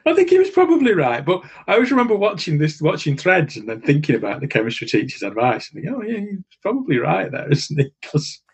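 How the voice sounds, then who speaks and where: A middle-aged man chatting casually as a podcast guest, rambling and animated. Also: fairly high pitch, quick pace, gravelly, hardly any pauses, breathy edge. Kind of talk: casual chat.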